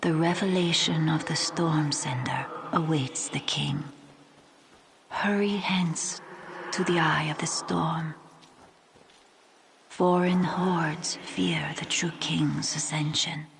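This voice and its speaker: A woman playing a serene, otherworldly messenger of the gods in a video game, with measured, formal and solemn delivery. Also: low-pitched, steady tone, gravelly, noisy background, heavily acted. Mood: hushed, prophetic. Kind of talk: dramatic video game voice acting.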